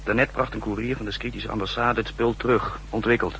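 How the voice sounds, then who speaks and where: An actor playing a chatty, cocky photographer informant with a breezy colloquial manner in a 1960s radio drama. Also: male voice, on the low side, flat delivery, fast talker, a bit bright, natural voice, poor audio. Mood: breezy, amused. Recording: hissy, humming old radio recording.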